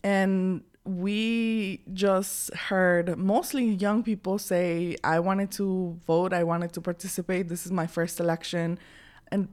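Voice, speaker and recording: A woman's voice: on the low side, steady tone, slow, darkish tone. A woman co-hosting a podcast, talking earnestly and upbeat about what she saw reporting. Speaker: podcast co-host and field reporter. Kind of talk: casual podcast chat.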